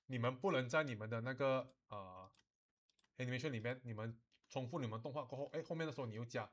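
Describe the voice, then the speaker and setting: Man giving an informal software tutorial. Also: medium pitch, fast talker, a little hiss or hum. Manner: instructional, often checks understanding, uses particles like lah. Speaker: teacher of animation software. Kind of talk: informal software tutorial mixing English and Mandarin.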